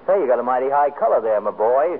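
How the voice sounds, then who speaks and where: A man's voice: mid-range voice, expressive, fast talker, natural voice. A man playing a needling, folksy doctor who lectures wryly in a 1940s radio comedy. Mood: wry scolding. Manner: wry, scolding, folksy. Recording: tinny vintage radio recording.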